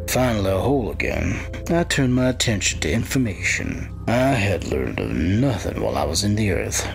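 Man voicing a low, gravelly undead wight. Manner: brooding, deliberate, theatrical. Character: ancient undead body-stealing wight, cold, menacing, patient. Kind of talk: dark fantasy audio drama narration.

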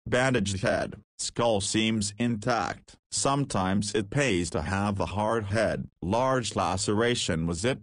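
Slow, low-voiced cocky young guy. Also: no echo, studio quality. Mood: cocky, wry. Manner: rambling, wry, slangy. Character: cocky, crude nineteen-year-old young man, rambling to himself. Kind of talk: visual novel voice acting.

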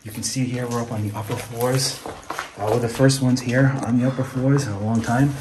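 A man's voice: mid-range voice, steady tone, fairly quick. A man hosting a casual exploring vlog, talking to viewers as he films on a handheld phone inside an abandoned building. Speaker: host of an urban exploring video. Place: inside an abandoned mausoleum at night. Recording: noisy handheld phone recording.

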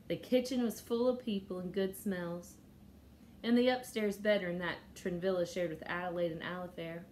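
A woman reads a novel aloud in an expressive storytelling style. Her voice sits at an average pitch. It is recorded in a small room at home, with faint noise behind her. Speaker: teacher reading aloud.

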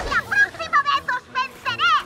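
Shrill gloating boy genius villain. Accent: Castilian Spanish. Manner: gloating, theatrical. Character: boastful boy mad-scientist villain, scornful, gloating. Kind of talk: animated children's cartoon dubbing. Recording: music behind the voice.

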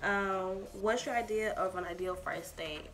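Young woman w/ a liberian accent answering q&a questions, lots of um and like, bright voice. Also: medium pitch, unhurried, a little hiss or hum. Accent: Liberian English. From Texas, USA. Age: young adult. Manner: chatty, many ums and likes, giggly. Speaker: YouTuber. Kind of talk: casual get-to-know-me Q&A. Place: small indoor room, purple backdrop.

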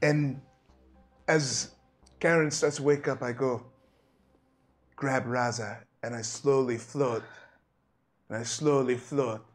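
A man playing a tabletop game, describing his character's actions in a rambling, animated way full of "like"s. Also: mid-range voice, slow, pauses often. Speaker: player. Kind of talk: tabletop roleplay narration.

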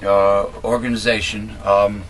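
Raspy middle-aged man rambling to a webcam. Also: fairly low pitch, little pitch movement, measured pace, a bit bright, slight background noise, poor audio. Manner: rambling, many fillers and restarts, blunt opinions. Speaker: host of a political commentary channel. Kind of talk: casual monologue, talking to camera. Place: small cluttered home room indoors.

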